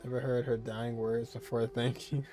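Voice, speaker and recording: A man's voice: mid-range voice, little pitch movement, moderate pace, mellow, faint music behind. Young man casually commentating on a game. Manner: informal, reacting aloud, reading game lines. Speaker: gaming streamer.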